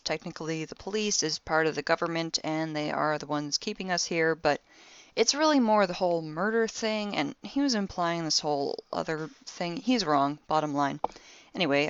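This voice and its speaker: Muffled dry recording, woman playing a low voiced snarky young space crew gal doing her audio log, deadpan n fed up. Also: normal speed, smooth, no echo. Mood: wry, amused. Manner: wry, deadpan, exasperated, British slang. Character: sardonic young spaceship crewwoman keeping an audio diary. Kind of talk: audio drama monologue, personal log.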